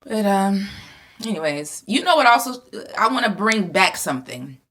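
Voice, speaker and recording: A woman's voice: mid-range voice, sing-song, moderate pace, a bit bright. A young adult woman co-hosting a podcast, talking bluntly and emphatically with plenty of profanity in casual chat. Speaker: podcast co-host.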